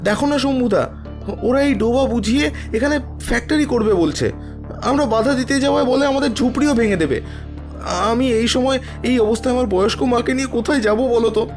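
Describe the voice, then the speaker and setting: A man's voice: very high voice, fairly quick, music in the background. A man playing a poor, anxious village boy pleading in a cartoon. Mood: distressed, pleading. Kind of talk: cartoon voice acting.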